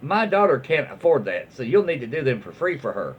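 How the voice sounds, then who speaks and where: A man's voice: mid-range voice, big pitch swings, brisk, husky. A man with a Southern US accent voicing an entitled, indignant, demanding mother in a story reading. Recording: dull lo-fi audio with faint noise.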